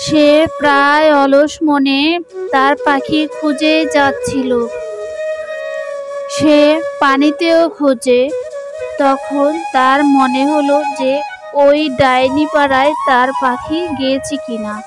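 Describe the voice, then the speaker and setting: A woman narrates a children's story from a script. Her voice is high and the pace is slow, with music clearly playing behind her. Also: level tone.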